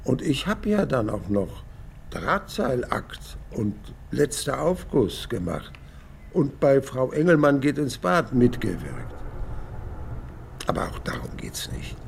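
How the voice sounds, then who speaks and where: A man's voice: medium pitch, wildly swooping pitch, slow, creaky, slight background noise, heavily acted. An actor playing a hundred-year-old male radio playwright who rambles through his memories, wistful and proud, in a reflective radio drama monologue. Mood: wry, aggrieved. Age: 100. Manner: rambling, reflective, emphatic swings between murmur and outburst.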